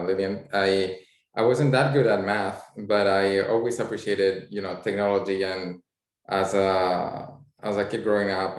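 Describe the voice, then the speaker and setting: A man from Spain with a Spanish accent, introducing himself on a video call panel, hesitant and full of fillers. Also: low voice. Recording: echoey room.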